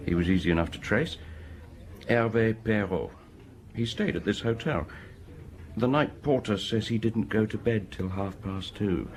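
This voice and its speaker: An actor playing a diligent local police inspector who reports his findings in a brisk, matter-of-fact way in a radio drama. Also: on the low side, a little hiss or hum, lightly acted.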